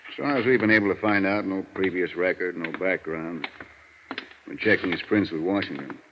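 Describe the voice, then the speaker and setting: Low gruff american cop, slangy and short, old radio play, noisy dull sound. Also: male voice, moderate pace. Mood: flat, puzzled. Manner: terse, slangy. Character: gruff, matter-of-fact male police jailer. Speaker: actor in a radio detective drama. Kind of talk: 1950s radio acting. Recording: muffled vintage radio recording, loud noise.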